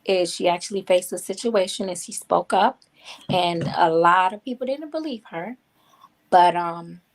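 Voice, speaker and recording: A woman's voice: lowish voice, normal speed. A woman from Haiti, guest author on a talk show, speaking candidly and reflectively from personal experience.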